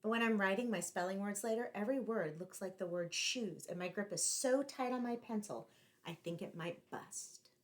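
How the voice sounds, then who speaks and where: A woman's voice: medium pitch, moderate pace, slight background noise. Woman reading a children's story aloud. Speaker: teacher reading a picture book aloud. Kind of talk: expressive children's read-aloud narration.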